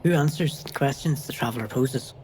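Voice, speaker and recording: A man playing a mild, enigmatic, pensive stranger with a soft-spoken, musing manner in an audio drama. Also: mid-range voice, a little fast, slight background noise, close to an everyday voice, poor audio. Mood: questioning.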